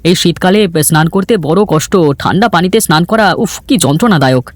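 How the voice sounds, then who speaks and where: A man voicing a good-natured, grumbling villager in a fairy-tale cartoon, chatty and quick to complain. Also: high-pitched, animated, no room sound. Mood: agreeable, grumbling. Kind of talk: Bengali cartoon voice acting. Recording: background music under the voice.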